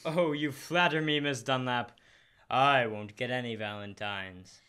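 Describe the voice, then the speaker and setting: A young man acting a shy, lonely bachelor store clerk in an old-time radio comedy, halting and bashful. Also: mid-range voice, sharp tone, clean tone. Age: young adult. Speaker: high school student actor. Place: school library room, live staged radio show. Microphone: shared stand microphone.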